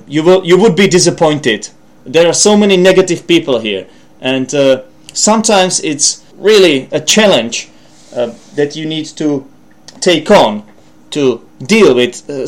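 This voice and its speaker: A man with a fairly high voice and a Czech accent presents an informal English lesson for a podcast. He talks in a rambling way, corrects himself as he goes, and slips in asides and little questions to the listener. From Czech Republic. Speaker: language podcast host and teacher.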